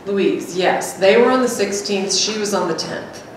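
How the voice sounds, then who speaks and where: A woman's voice: medium pitch, unhurried, close to an everyday voice. Woman reading as a chatty companion in an echoey room. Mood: casual. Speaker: performer reading a script. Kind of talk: seated staged reading of a dialogue script. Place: small hard-walled gallery room, indoors. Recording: very echoey room, distant, faint noise.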